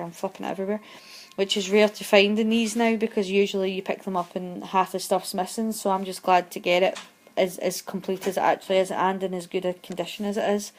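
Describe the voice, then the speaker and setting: A woman's voice: medium pitch, darkish tone. A young woman who is losing her voice, chatting casually and rambling about films she bought. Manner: chatty, rambling, self-deprecating. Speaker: vlogger showing film purchases. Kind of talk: casual chat.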